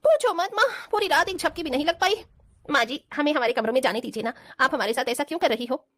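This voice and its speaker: A woman's voice: high voice, lively intonation, quick pace, a bit bright, slight background noise. A woman playing spoiled, work-shy young rich daughters-in-law in a cartoon family drama, in a whiny, complaining manner. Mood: whiny, pleading.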